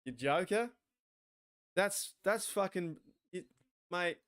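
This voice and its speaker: An Australian man hosting a sports watch party stream, rambling excitably, swearing and talking back to his chat. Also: high-pitched, slow pace, smooth. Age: 40s.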